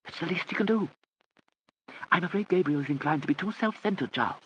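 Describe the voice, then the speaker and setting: A man reading an audiobook, voicing a vague, hard-of-hearing elderly aristocratic aunt who talks in a soft, wandering, genteel way. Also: fairly high pitch, expressive, a little fast, muffled recording, a little hiss or hum, pitched above the performer's own voice.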